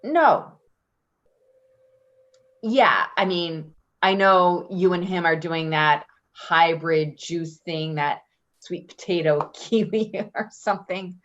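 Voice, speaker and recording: Offhand, evasive female friend played by a woman, lower voice, unhurried, slightly dull recording. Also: fairly bright tone. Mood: breezy. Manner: offhand, giggly, hedging. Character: breezy, evasive, self-serving female friend. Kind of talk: play reading, casual dramatic dialogue.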